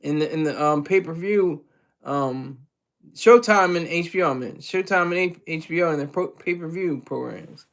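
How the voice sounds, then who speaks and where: A man's voice: on the high side, moderate pace, a bit bright. A man hosting a casual livestream, chatting to his audience in a loose, rambling way with frequent restarts and chuckles. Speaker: livestream host reading chat.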